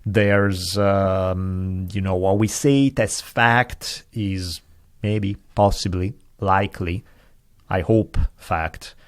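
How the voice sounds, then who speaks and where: A man's voice: deep voice, fairly even intonation, takes it slowly. A man, a podcast guest explaining ideas in a thoughtful, digressive way with frequent hedges. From Italy. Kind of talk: casual conversation.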